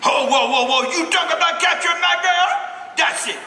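A man's voice: very high voice, moderate pace, pushed and bright, exaggerated voice. A man voicing a lovestruck, feisty cartoon firefly live on stage. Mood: outraged. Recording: echoey hall, faint noise.

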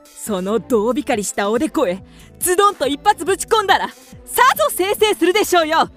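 A woman playing a proud, fiery and fickle widow in a theatrical radio drama, sharp-tongued yet formal. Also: sits high, animated, brisk, soft background music. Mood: furious. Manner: haughty, sharp-tongued, formal feminine speech.

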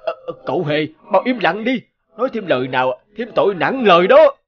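A man's voice: high voice, very animated, brisk, lo-fi sound, crowd noise. A man doing a timid, stammering cartoon courtier. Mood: anxious. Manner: hesitant, stammering. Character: timid, stammering royal minister, fearful of his king. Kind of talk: animated fairy-tale dialogue.

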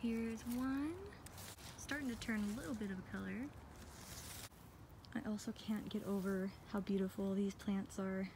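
American lady doing a casual garden tour vlog, chatty n upbeat, medium pitch, phone mic outside w a bit of ambient noise. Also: steady tone, normal speed, pauses often. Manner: chatty, enthusiastic. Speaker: vlogger giving a garden tour. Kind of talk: casual walk-through narration. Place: outdoors in a backyard garden. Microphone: handheld phone behind the camera.

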